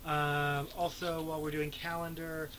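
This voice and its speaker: A man's voice: a little high, flat delivery, normal speed, noisy background. A man hosting a casual chat, rambling along and correcting himself as he goes, recorded on a webcam in a small room. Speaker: host. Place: small room indoors at home. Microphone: webcam at arm's length. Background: guitar music.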